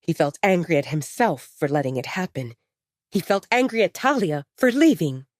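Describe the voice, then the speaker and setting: A woman's voice: on the low side, very animated, normal speed, no echo, clean studio sound. A woman reading a dramatic fiction story aloud as a scripted audiobook narration.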